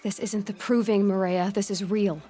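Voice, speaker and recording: A woman's voice: mid-range voice, fairly even intonation, moderate pace, dark tone, lightly acted. A woman playing a determined young hunter in a video game, speaking in a direct, matter-of-fact way. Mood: firm. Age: young adult. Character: determined, self-assured young female hunter. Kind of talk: dramatic video game dialogue.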